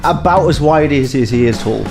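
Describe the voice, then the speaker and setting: A man's voice: mid-range voice, animated, moderate pace. A man working as game master, narrating casually and improvising as he goes, feeling out his words. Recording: music playing under the voice.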